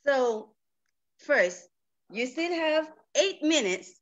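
A woman moderating a panel in a halting way, repeating words as she searches for what to say. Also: high voice, animated. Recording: dull, lo-fi online call.

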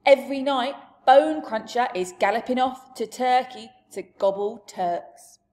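Storybook giant, male, huge, jolly, booming, shouting, broken grammar, high-pitched, woman voicing. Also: a little slow, close to an everyday voice.

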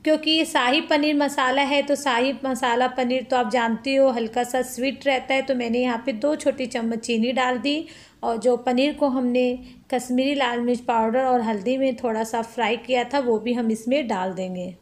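A woman's voice: high-pitched, steady tone, moderate pace. A woman hosting a cooking channel, chatting step by step through a recipe in a home kitchen and calling her viewers friends.

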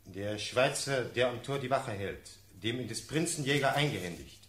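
A dutiful servant played by a man reports formally to his lord in a classical radio play. His medium-pitched voice sounds in a reverberant room with slight background noise. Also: animated, normal speed, fairly bright tone, close to an everyday voice. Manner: formal, respectful. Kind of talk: German classical verse radio drama. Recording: echoey room with faint noise.

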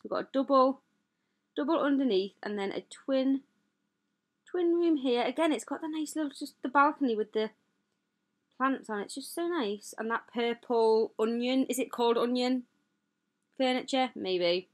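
A woman hosting a gaming channel, chatting casually and gushing over a building as she tours it, rambling with self-deprecating asides. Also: high voice. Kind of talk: casual game tour commentary. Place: voice-over over gameplay footage.

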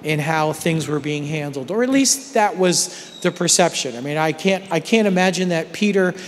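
A man's voice: a little high, expressive, moderate pace, slight background noise. A middle-aged man teaching a Bible study class in a church sanctuary, talking conversationally and checking in with his listeners. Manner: conversational, often checks in with "right?". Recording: reverberant church hall.

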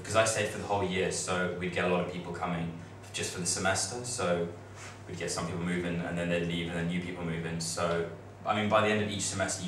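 A young man chatting loosely to fellow students in a classroom, full of fillers and restarts. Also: low-pitched. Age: young adult. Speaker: student on a study-abroad panel. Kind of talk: casual informal talk to students. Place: classroom with projector screen. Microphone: far camera. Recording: echoey room, distant mic, noisy.

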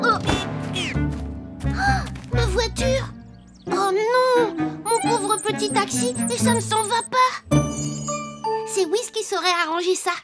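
Really high bubbly little toy boy, friendly and earnest, cartoon dub w music under it. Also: full character voice. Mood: worried. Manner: childlike, bubbly, expressive. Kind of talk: children's cartoon dubbing.

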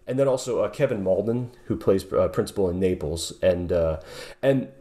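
A man in his forties with a lowish voice, talking over a video call from his home. He speaks thoughtfully, often restarting his sentences and filling gaps with "um" and "you know.". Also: lively intonation, normal speed, warm tone. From southeastern New York. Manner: thoughtful, many restarts and fillers. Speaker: guest bassist. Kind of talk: casual interview. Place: home room with guitars on wall.

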